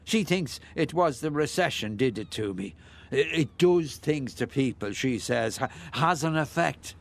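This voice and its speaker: An actor playing a chatty, nosy older Irish ex-bricklayer who rambles and muses in a radio play. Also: male voice, mid-range voice, expressive, unhurried, gravelly. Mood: rambling, bemused. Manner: garrulous, rambling, musing, with hesitations.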